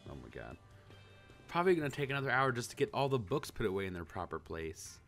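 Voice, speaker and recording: A man's voice: mid-range voice, huge pitch swings, quick pace, a bit bright, soft background music. A man giving casual, rambling let's play commentary and reading a visual novel aloud, easily amused. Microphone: handheld microphone on a cord.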